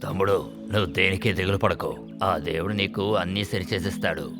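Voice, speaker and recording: Man acting a low, gentle village elder. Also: fairly even intonation, a little fast, vocal fry, music in the background. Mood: consoling. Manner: reassuring, gentle. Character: consoling, pious male village elder.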